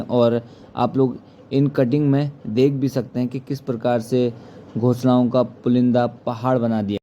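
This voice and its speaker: A man's voice: mid-range voice, warm tone, slight background noise. A man presents a political explainer as an activist, speaking earnestly in formal diction. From Uttarakhand, India. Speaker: activist commentator. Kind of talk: political explainer to camera or voice-over.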